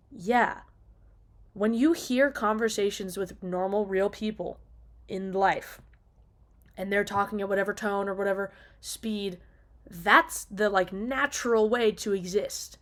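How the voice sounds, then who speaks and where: A woman's voice: mid-range voice, measured pace. A woman talking casually and off the cuff in an opinionated, emphatic rant, exasperated and casually sweary. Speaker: video diarist.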